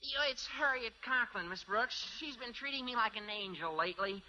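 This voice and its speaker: Teenage schoolboy, radio sitcom: high, sharp, squeaky, earnestly pompous, vintage lo-fi sound. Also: male voice, fairly quick, heavily acted. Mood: lovestruck. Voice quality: squeaky, adolescent. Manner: florid, mock-grandiose, earnest. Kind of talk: 1950s radio sitcom acting. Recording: dull, noisy old radio recording.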